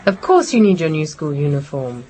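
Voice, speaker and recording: Low-voiced, tender English mother. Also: sing-song, moderate pace, warm tone, a faint crowd. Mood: gently reassuring. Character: English mother, anxious but gently reassuring her child. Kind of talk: radio drama acting.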